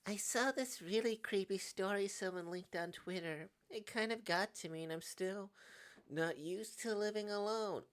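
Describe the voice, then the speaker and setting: A man voicing a sweet, shy young woman in a visual novel, with a soft, gentle delivery. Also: high-pitched, normal speed, clear, resonant tone, voice pushed up.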